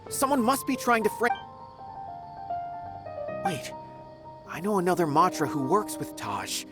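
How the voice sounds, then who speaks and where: A man's voice: high voice, sing-song, unhurried, over music. A man playing an earnest, loyal young official on an investigation in a video game, speaking insistently. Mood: disbelieving, defensive. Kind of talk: English video game voice acting.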